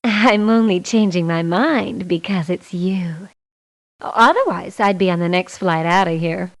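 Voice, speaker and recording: A woman's voice: lowish voice, unhurried. An actress playing a sassy, blunt young woman mercenary in a video game, delivering her lines in a curt, theatrical way. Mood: coy. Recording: muffled lo-fi game audio clips.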